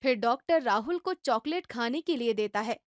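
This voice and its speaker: High-voiced woman narrating a story. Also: normal speed, dry recording, studio quality. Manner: steady, plain storytelling.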